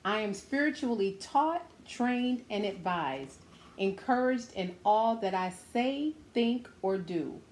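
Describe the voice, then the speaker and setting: Female story-time host, mid-pitched, encouraging and direct with kids, some background noise. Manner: encouraging, speaking simply and directly to children. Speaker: children's story-time host reading a picture book aloud. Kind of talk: read-aloud with encouraging asides to children.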